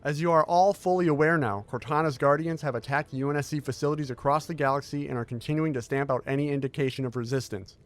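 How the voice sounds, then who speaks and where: A man playing a tall, secretive military scientist who speaks in a formal, measured briefing manner in an audio drama. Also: a little high, faint music behind, lightly acted.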